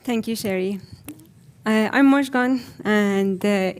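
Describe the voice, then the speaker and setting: A woman with an Iranian accent giving a personal conference talk in a reflective, hesitant way with frequent pauses. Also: mid-range voice, smooth. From Iran. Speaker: conference speaker.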